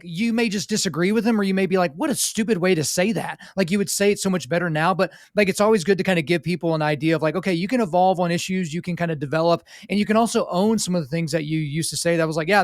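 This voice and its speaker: Fast-talking man hosting a podcast. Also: high-pitched, no room sound, studio quality. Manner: rapid, rambling, talks through thoughts aloud. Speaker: podcast host. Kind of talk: casual interview chat.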